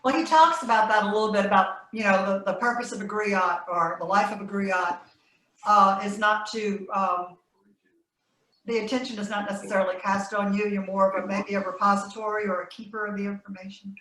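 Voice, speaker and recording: A woman's voice: mid-range voice, steady tone, brisk, a bit bright. A woman talking casually in a book club discussion held over a video call. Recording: echoey, slightly noisy video call.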